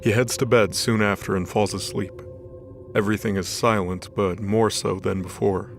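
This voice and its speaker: A man's voice: fairly low pitch, fairly even intonation, normal speed, gravelly. A man narrating a scripted dramatic story as the storyteller of an audio drama. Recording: voice over background music.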